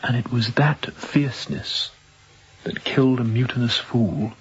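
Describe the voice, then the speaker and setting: Actor as a guarded young sea captain. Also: male voice, mid-range voice, fairly even intonation, a little slow, close to an everyday voice. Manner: clipped, quiet-spoken, guarded. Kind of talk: radio drama acting. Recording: muffled sound, faint background noise.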